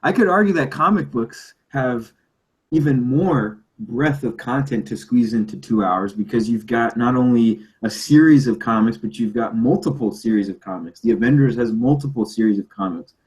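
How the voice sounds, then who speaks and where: A man speaks as a podcast panelist in a loose, casual debate. His voice sits at an average pitch, and he argues emphatically, repeating phrases to drive his point home. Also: moderate pace, darkish tone, low-quality recording. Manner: emphatic, argumentative, repeats himself for effect.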